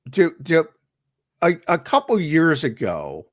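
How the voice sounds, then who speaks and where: A man co-hosting a sports talk show, slightly hoarse because he is losing his voice. He argues his points emphatically and often repeats words as he starts a sentence. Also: a little high, huge pitch swings, takes it slowly. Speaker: co-host and analyst.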